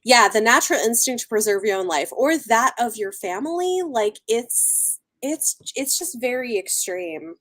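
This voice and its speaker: A woman chatting casually about a book, with a halting, exasperated and dismissive way of talking. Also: a little high, expressive, fairly bright tone. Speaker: book readalong live chat co-host. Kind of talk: casual chat, book discussion.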